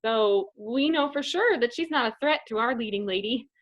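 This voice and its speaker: Female comedy narrator, high and lively, mock-sweet, sassy, sarcastic scripted delivery. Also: moderate pace. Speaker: comedy troupe performer, narrator.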